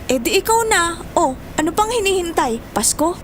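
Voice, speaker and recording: Casting: actress as brash young Filipino-accented student friend, extremely high voice, teasing banter, slight noise. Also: female voice, sing-song, normal speed. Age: young adult. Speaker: voice actor. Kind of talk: Tagalog audio drama acting, banter. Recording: faint background noise.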